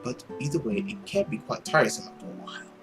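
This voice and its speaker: A man reading a visual novel's withdrawn, melancholy orphan man in a soft, breathy voice. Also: on the high side, huge pitch swings, fast talker. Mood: melancholy, hesitant. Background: guitar music.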